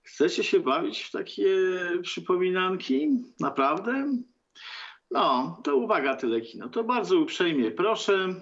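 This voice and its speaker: A man in his sixties hosting a political talk show, commenting sarcastically with theatrical, halting delivery. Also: sits high, very animated, gravelly, a bit bright, muffled recording. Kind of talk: sardonic political commentary to viewers. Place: book-lined room at home.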